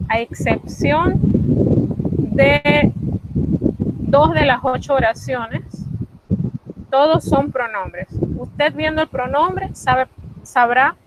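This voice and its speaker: A woman, teaching an online French class and patiently repeating the key points. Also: sits high. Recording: loud background noise.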